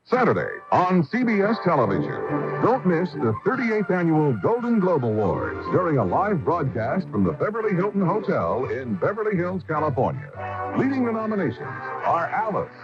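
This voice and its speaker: A man's voice: fairly high pitch, lo-fi sound. A man announcing a scripted television promo on an old radio broadcast. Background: music.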